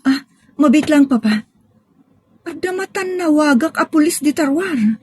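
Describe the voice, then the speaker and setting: A woman's voice: sits high, lively intonation, measured pace, a little mellow, a little hiss or hum. A woman playing an insistent, suspicious young bride-to-be daughter in an audio drama. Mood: upset, wary. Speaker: drama actor. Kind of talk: Ilocano audio drama acting.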